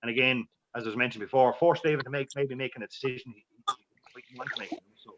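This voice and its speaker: A man talks quickly through live fly-fishing commentary, circling back with fillers and repeated words as he thinks aloud. His voice sits mid-range, and the audio is dull and muffled. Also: mid-range voice, noisy background. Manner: rambling, many fillers and restarts. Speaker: expert co-commentator.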